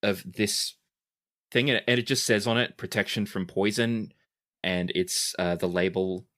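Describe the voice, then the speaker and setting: A man's voice: low voice, normal speed, bright, forceful tone. A man speaking as game master, improvising narration for a tabletop game in a conversational, hesitant way.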